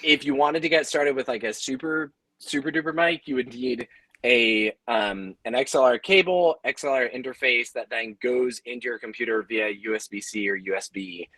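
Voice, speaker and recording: Mid-pitched man with dry, close sound. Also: moderate pace, sharp tone, dry recording, rough recording. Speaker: podcaster and audio creator, panelist. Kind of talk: casual explanatory panel talk.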